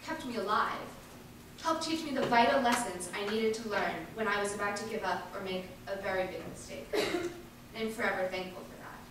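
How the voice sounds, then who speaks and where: A woman giving an earnest, emphatic dramatic reading of a first-person monologue, with deliberate pauses. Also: medium pitch. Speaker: reader performing an activist's first-person testimony. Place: large indoor event space, distant microphone. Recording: echoey hall from far off, faint noise.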